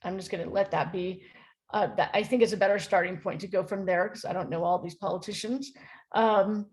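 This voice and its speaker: A woman from the United States with an American accent, giving a conference panel talk as a philosophy professor, friendly and halting, with many ums and restarts. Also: mid-range voice, fairly even intonation, a little mellow.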